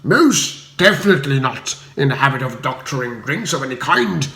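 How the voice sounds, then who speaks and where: A man's voice: mid-range voice, big pitch swings, normal speed, bright, forceful tone. Storybook aristocrat played by a male reader: pompous, blustering, slightly echoing room. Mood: haughty, defensive. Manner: pompous, emphatic, flustered. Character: haughty blustering English lord trying to sound tough.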